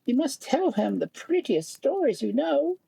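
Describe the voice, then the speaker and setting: High-voiced kindly old field mouse. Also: sing-song, a little slow, creaky, warm tone, no room sound, full character voice. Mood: chatty, advising. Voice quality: thin, high-placed voice.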